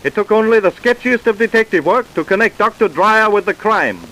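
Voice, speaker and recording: A man's voice: high voice, lively intonation, brisk, fairly bright tone, rough recording. A man acting as a grave, declamatory narrator of a vintage radio true-crime programme. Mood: grave, emphatic. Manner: declamatory, emphatic. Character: grave crime-show narrator reporting case facts. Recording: hissy vintage radio recording.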